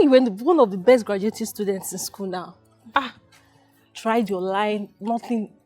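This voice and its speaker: A woman's voice: mid-range voice, dark tone, breathy edge, close to an everyday voice. An actress playing a consoling woman friend who talks in a soothing way, repeating her apologies over and over. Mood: apologetic. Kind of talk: melodrama scene.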